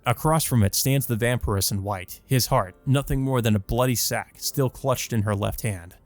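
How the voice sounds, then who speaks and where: A man's voice: mid-range voice, moderate pace, soft background music. A man hosting a let's play, reading a visual novel aloud and chatting about it in a casual, rambling way full of fillers. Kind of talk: visual novel read-through with commentary.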